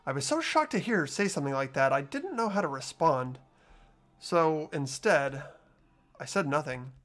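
A man's voice: a little high, animated, normal speed, a bit bright. A man voicing an earnest, sympathetic and unsure teenage schoolboy in a video game, with a hesitant delivery. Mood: subdued, reflective. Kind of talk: amateur video game voice acting. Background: background music.

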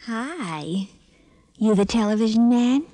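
High, ditzy radio moll. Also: female voice, animated, slow pace, mellow. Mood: flirty. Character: ditzy, flirtatious gangster's moll, chatty and unrefined. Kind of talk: old-time radio comic crime acting.

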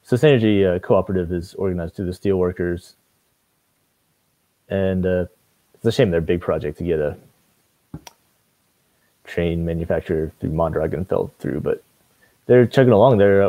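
Low-voiced young man on a video call. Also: normal speed, pauses often, no room sound, narrow, tinny audio. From Maine. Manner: relaxed, hesitant with frequent fillers. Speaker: city council candidate. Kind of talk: casual video call interview. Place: home room with bookshelves. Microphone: video call webcam.

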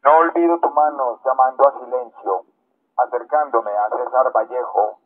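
A man's voice: medium pitch, fairly even intonation, moderate pace, narrow, tinny audio. A man reciting poetry as a reader at a public event in an outdoor park. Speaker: poet reading in public. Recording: thin, band-limited megaphone sound outdoors.

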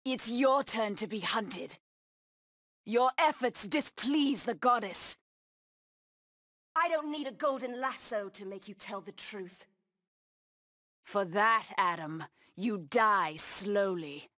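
An actress voicing a fierce, bloodthirsty feline huntress in a video game, with a menacing, haughty delivery. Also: female voice, mid-range voice, slow, long pauses, sharp tone, dry recording, clean studio sound. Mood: fierce, exultant. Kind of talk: video game voice acting, short combat taunts.

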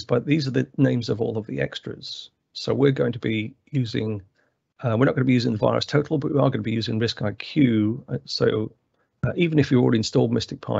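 Gravelly-voiced man hosting a software tutorial, loose and full of fillers, on dry, dull-sounding audio. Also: mid-range voice, dry recording. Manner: rambling, many fillers and restarts. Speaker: presenter. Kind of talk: technical webinar walkthrough. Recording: dry, slightly muffled webinar audio.

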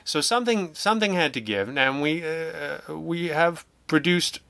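Wry man chatting, bright clear voice. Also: fairly high pitch, measured pace, no echo. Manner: wry, self-deprecating, animated storytelling with mock quotes. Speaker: interview guest, audio drama writer and producer. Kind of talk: casual interview chat.

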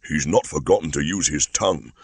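A man's voice: a bass voice, expressive, fairly quick, breathy edge, no room sound, full character voice. A man voicing a fond old male bear in a storybook reading, earnest and a little hesitant. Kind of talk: audiobook character voice.

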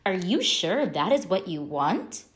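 A woman's voice: lowish voice, big pitch swings, measured pace. A woman voicing a patient, puzzled storybook mother, questioning and matter-of-fact. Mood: puzzled, firm.

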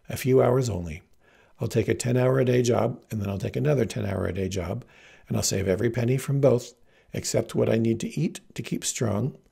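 Storybook role: lovestruck young farm boy, voiced by a male narrator, earnest and resolute, husky, soft-toned. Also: mid-range voice, heavily acted.

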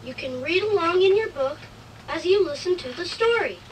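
A woman's voice: very high voice, expressive, normal speed, a little hiss or hum. A woman voicing an earnest, plain-spoken cartoon schoolchild, heard from a vintage record played across a room. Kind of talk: cartoon read-along acting. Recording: distant vintage record playback over loud music. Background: music.

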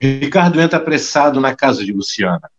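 A man's voice: medium pitch, animated, brisk, highs cut off, faint music behind. A man narrating an audio drama in a scripted style.

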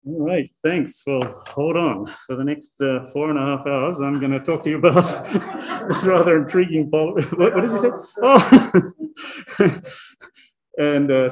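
A man in his sixties from New Zealand, with a New Zealand accent, giving a public science lecture with dry, self-deprecating humor. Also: a little high. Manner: self-deprecating, chuckles between dry asides. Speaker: guest lecturer, professor.

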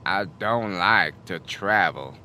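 Cagey small-town shopkeeper, audio drama. Slow, deadpan, sharp-edged voice with swooping pitch. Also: male voice, slight background noise, full character voice. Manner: terse, deadpan. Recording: faint outdoor ambience behind.

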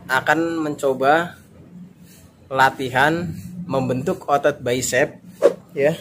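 A man's voice: medium pitch, fairly even intonation, takes it slowly, background noise. A man gives a casual exercise tutorial to camera, often adding little check-in tags for viewers. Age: 20s. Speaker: fitness YouTuber. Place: outdoors in a narrow walled residential alley. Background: music.

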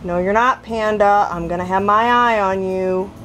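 A woman voicing a bossy, scolding little lamb plush toy in a puppet skit, firm and drawn-out. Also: mid-range voice, fairly bright tone, slight background noise, voice pushed down, natural voice, poor audio. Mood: stern.

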